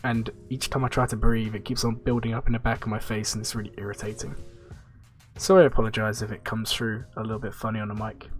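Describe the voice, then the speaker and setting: A man's voice: on the low side, fast, soft background music. Nasal, stuffy-nosed male streamer. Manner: rambling, relaxed, answers chat questions. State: stuffy, blocked nose. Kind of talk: casual gaming livestream chat.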